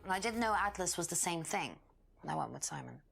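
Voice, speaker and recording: A woman's voice: on the low side, lively intonation, quick pace, creaky, fairly bright tone, faint music behind, exaggerated voice. A woman playing a guarded, uneasy young witness in a mystery game, speaking hesitantly and defensively. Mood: guarded, disdainful. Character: guarded young female witness, uneasy former band singer. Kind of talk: acted dialogue in an FMV mystery game.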